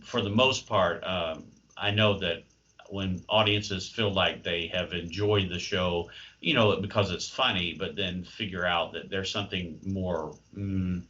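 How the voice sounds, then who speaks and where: A man's voice: deep voice, bright, forceful tone, dull audio. A man answering interview questions as a playwright in a hesitant, thoughtful, wry conversational way. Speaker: playwright and creative writing professor, interview guest.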